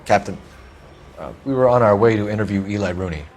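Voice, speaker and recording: An actor playing a composed American 1940s police detective in a video game, asking questions in a clipped, formal way. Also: male voice, fairly low pitch, fast talker, darkish tone, a little hiss or hum, natural voice. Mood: inquiring. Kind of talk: cinematic video game acting.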